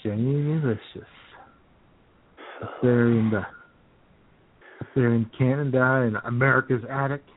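A man's voice: mid-range voice, fairly even intonation, slow pace, long pauses. A man co-hosting an internet radio show with casual, irreverent, wisecracking comedic banter. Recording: thin phone-like internet radio sound.

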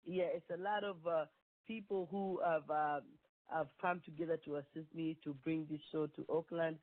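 A woman's voice: low voice, steady tone, normal speed, no room sound. Kenyan woman on a tinny phone line. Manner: earnest, rambling with many restarts. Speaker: performing artist guest. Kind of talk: phone-in interview.

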